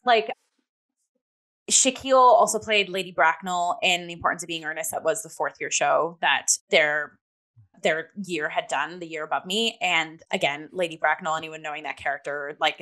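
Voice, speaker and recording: A woman's voice: low voice, a bit bright. A young woman podcast host giving a casual theatre review in an effusive, emphatic, conversational way, with "like" sprinkled through her sentences.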